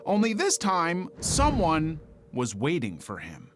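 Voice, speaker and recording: A man reading scripted true-crime narration in a measured, dramatic storytelling manner. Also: on the high side, wildly swooping pitch, noisy background.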